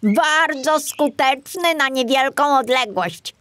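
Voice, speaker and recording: A woman's voice: high voice, slow pace, fairly bright tone, slight background noise, pitched above the performer's own voice. A woman voicing a cheeky small male rat detective in a storybook, brash and offhand.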